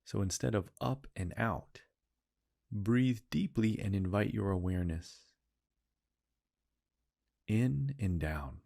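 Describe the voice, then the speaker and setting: A man leading a guided meditation, speaking in slow, soothing phrases and repeating gentle cues. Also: on the low side, expressive, vocal fry.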